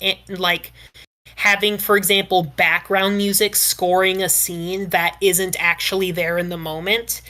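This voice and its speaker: Podcast panelist: woman with a lowish voice, chatting through opinions in a measured, qualifying manner. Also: little pitch movement, bright, forceful tone. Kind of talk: casual panel discussion giving opinions.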